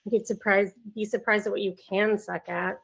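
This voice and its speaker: A woman's voice: medium pitch, fairly even intonation, moderate pace, vocal fry, rough recording. A woman playing a bored, sarcastic, needling psych ward patient at a table read. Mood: mocking, taunting.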